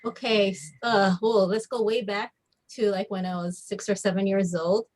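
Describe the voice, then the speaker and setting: A woman's voice: mid-range voice, fairly even intonation, moderate pace, a little mellow. An American woman from Hawaii casually telling her own story in an interview, informal and often saying "like". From Hawaii, United States. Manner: informal, frequent "like". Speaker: comic book artist, podcast guest.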